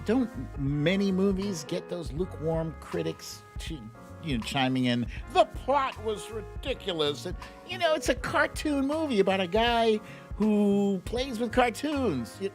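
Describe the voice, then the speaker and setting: A middle-aged man hosting a livestream, chatting informally and playfully with viewers, stretching words and tossing in asides. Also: medium pitch. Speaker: livestream show host. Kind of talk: casual livestream chat with viewers. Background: background music.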